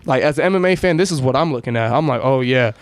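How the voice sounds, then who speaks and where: A man's voice: mid-range voice, fast talker, no echo. A man hosting a casual sports podcast, laid-back and asking his co-host questions. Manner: laid-back, asks questions, says "bro". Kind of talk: casual chat.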